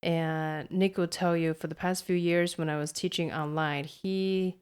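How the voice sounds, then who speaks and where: A woman from Taiwan, a native Mandarin speaker, co-hosts a casual podcast in English. Her voice sits a little low, and her Taiwanese accent carries an American tinge. She talks in an earnest, warm way as an English teacher. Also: steady tone, unhurried, smooth. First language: Mandarin Chinese.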